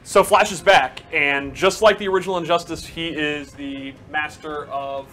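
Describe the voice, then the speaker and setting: A man's voice: on the high side, fairly even intonation, moderate pace, pushed and bright, poor audio. A man casually explaining fighting game moves in an instructive, enthusiastic way.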